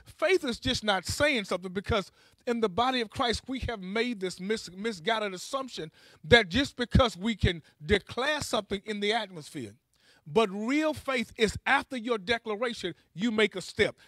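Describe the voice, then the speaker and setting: A man's voice: extremely high pitch, a bit bright, no echo. A man preaching a charismatic sermon in a fervent, emphatic way, exhorting his listeners and repeating key phrases.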